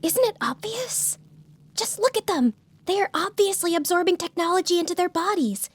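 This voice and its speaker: High-voiced, wary young student. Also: female voice, lively intonation, normal speed. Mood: explaining. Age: young adult. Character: cautious, clever young female student. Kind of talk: audiobook drama acting.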